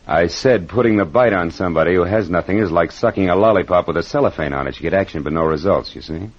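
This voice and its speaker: A man's voice: sits low, fast. A man playing a wry, wisecracking private detective with an American accent, in 1940s radio acting style. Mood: dry, probing. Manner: wisecracking, hard-boiled. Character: wry, tough male private detective narrating his case. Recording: dull vintage radio recording with music.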